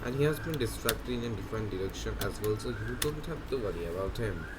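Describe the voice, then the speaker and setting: A man's voice: fairly low pitch, lively intonation, quick pace, very noisy. A man giving a casual, rambling walkthrough commentary of a video game. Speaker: gaming video host. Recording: loud game music under the voice. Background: loud game music.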